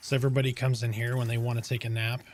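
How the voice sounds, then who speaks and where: A man with a calm, soothing voice chatting casually on a game stream, explaining things step by step in a relaxed, rambling way. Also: mid-range voice, racing, slight background noise. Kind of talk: casual live-stream chat.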